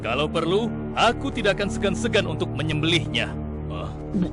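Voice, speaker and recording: A man's voice: high voice, very animated, fairly quick. A man playing a stern, authoritative prophet king with a regal, commanding manner in a children's cartoon. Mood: commanding, displeased. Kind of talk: children's animated religious story acting. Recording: loud music behind the voice.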